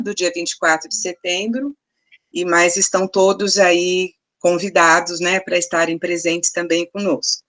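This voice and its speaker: A woman's voice: mid-range voice, fairly bright tone. A woman mediating a research seminar, closing it in a friendly way, with tag questions, on a video call.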